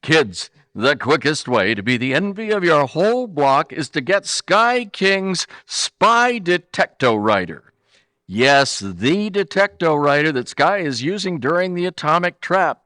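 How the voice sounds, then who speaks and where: Man as bright, emphatic radio pitchman. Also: big pitch swings, unhurried, dry recording, studio quality, heavily acted. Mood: enthusiastic. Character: gee-whiz male radio pitchman hyping toys to kids. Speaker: announcer. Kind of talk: 1940s children's radio announcing.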